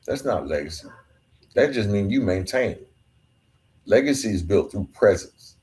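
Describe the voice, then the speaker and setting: Male podcast host, low voice, emphatic repeated-phrase motivational delivery. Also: expressive, normal speed, darkish tone. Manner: emphatic, repetitive preaching cadence. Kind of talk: motivational monologue.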